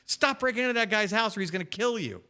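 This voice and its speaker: A man's voice: high voice, lively intonation, fast, pushed and bright, clear, resonant tone. A man in his fifties co-hosting a podcast, telling casual anecdotes with teasing jokes and acted-out dialogue. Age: 50s. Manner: jokey, teasing, acts out quoted dialogue. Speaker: podcast co-host. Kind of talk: casual anecdotal storytelling and banter.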